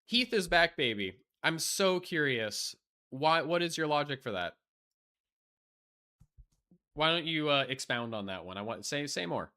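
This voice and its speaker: A man's voice: a little high, lively intonation, a little slow, pauses often, sharp tone. A young man chatting casually on a live stream, rambling with lots of fillers and restarts, blunt and profane, and losing his voice.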